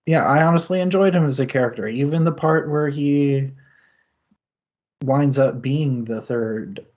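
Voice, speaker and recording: A man's voice: medium pitch, unhurried, a little mellow. A man in the middle of his range talks through a book on a live stream. He thinks aloud in long, winding sentences and laughs often. The sound is muffled and lo-fi. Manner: thoughtful, rambling, with frequent laughs. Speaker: book club stream host. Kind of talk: casual book discussion.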